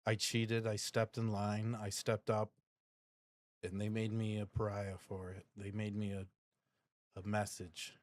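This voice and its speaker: A man playing a dejected, bitter exiled racer in a tabletop game, speaking haltingly and repeating his words. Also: fairly low pitch, monotone, natural voice. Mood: bitter, defeated. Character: exiled racer, dejected, bitter, bewildered young nobleman's outcast. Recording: music behind the voice.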